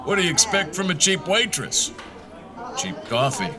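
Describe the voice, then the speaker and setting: Man doing a ribbing small-town diner regular, high voice, lively, music behind. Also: moderate pace. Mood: teasing. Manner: ribbing, folksy. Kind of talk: audio drama acting.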